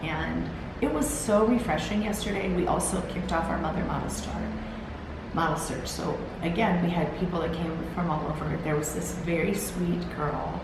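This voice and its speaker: A tired woman with a gravelly, slightly low voice talks earnestly to a camera on a timer. She is encouraging and rambles along, restarting her sentences. The room echoes strongly, with a steady hiss underneath. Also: normal speed. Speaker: host. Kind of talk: solo motivational talk.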